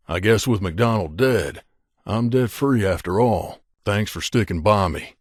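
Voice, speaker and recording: Video game companion: devoted wasteland gunman, lowish voice, wry, familiar delivery, very dry close studio sound. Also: a little slow, no echo. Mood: sincere. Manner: wry, casual, addresses boss familiarly. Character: loyal wasteland gunslinger companion man, wry, devoted to his mother.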